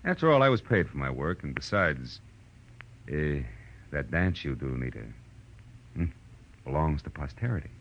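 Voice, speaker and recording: Hard-boiled American detective narrator, deep and deadpan, wry slang, old muffled radio sound. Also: male voice, moderate pace, long pauses, heavily acted. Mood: dry, explaining. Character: hard-boiled male private eye, weary, wry narrator. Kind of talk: 1940s radio detective acting, scripted narration. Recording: muffled vintage radio recording with music.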